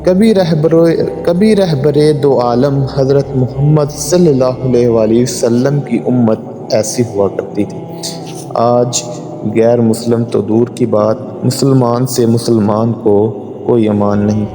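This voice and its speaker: A man giving a solemn, measured, dramatic narration of a moral story from a script. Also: mid-range voice, dark tone. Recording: long hall reverb, music underneath. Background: background music.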